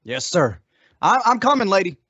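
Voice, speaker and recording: A man's voice: high voice, big pitch swings, moderate pace, sharp tone, heavily acted. A man playing an eager, folksy, deferential marshal's helper in an old-time radio western. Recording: dull lo-fi radio drama recording.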